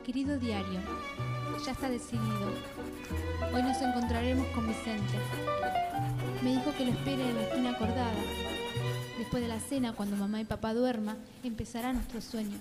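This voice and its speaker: A woman's voice: fairly high pitch, steady tone, normal speed, long pauses, loud music behind the voice, lightly acted. A woman playing a tender, nervous teenage girl in love, reading her diary in a radio play. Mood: dreamy, secretive.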